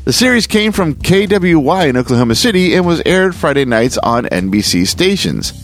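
A man's voice: on the high side, sing-song, moderate pace. A man hosting, delivering a scripted podcast introduction. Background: faint music.

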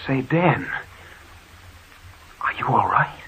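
An actor playing a concerned, steady brother in an old radio horror drama, trying to reason and reassure. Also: male voice, mid-range voice, slow pace, slightly rough, full character voice. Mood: uneasy. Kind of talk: vintage radio horror acting. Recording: dull old-time radio recording.

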